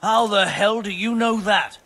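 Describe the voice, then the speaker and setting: A man's voice: high-pitched, unhurried, sharp tone, exaggerated voice. A man playing a blunt, stubborn, money-minded medieval builder in a video game, haggling grudgingly. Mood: irritated, blunt. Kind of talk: video game cutscene acting.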